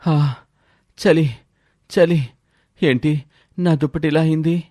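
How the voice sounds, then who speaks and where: A man voicing a lazy, complaining villager in an animated moral story, with a whining manner. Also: on the high side, takes it slowly, dry recording, studio quality. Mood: dismayed, whining.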